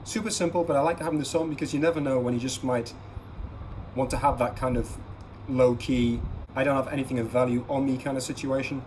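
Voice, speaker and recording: A man's voice: mid-range voice, fairly even intonation, a little fast, darkish tone, rough recording. A man hosting a casual gear vlog, talking viewers through products in an instructive, advising way and stressing key words. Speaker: host of an everyday-carry gear vlog. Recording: clear background ambient noise.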